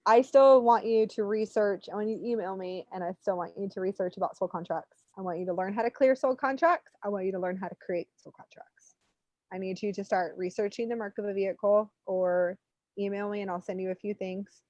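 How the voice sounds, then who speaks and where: A woman giving an unscripted spiritual reading, talking in an animated, informal, rambling way as she coaches. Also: mid-range voice, a little fast. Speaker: spiritual reader hosting a live readings session. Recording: muffled, roomy, lo-fi online stream.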